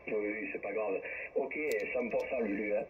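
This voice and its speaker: A man's voice: fairly low pitch, fairly even intonation, moderate pace, narrow, tinny audio, slight background noise. A man chatting casually as he runs an amateur radio group contact over a radio transmission. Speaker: amateur radio operator passing turns in a group chat. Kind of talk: casual ham radio chat. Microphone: radio transceiver microphone.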